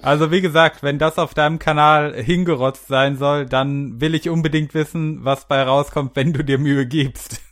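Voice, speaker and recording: A man's voice: a little high, fairly even intonation, moderate pace, few pauses. A man chatting casually in a group podcast.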